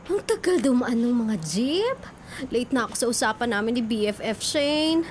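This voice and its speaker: A woman playing a flustered, feisty, girlish young woman in a Filipino radio drama, with an expressive, whiny delivery. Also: fairly high pitch, moderate pace, music in the background, close to an everyday voice. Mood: impatient, anxious. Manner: expressive, whiny, Taglish-sprinkled.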